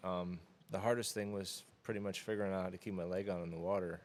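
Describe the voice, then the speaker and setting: Low, flat-voiced man, easygoing. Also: fast talker. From Florida Keys, USA. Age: 30s. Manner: relaxed, rambling with many fillers. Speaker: interview guest. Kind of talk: casual conversation.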